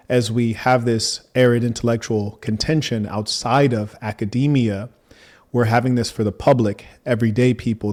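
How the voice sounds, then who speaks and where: A man hosting a debate, speaking in a measured, formal manner and asking probing questions. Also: fairly low pitch, darkish tone. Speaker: debate host.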